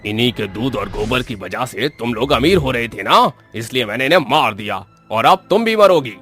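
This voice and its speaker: A man's voice: mid-range voice, lively intonation, moderate pace, fairly bright tone, close to an everyday voice. A man voicing a jealous, cruel village neighbour in a horror cartoon story, resentful and scheming. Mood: spiteful. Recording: background music under the voice.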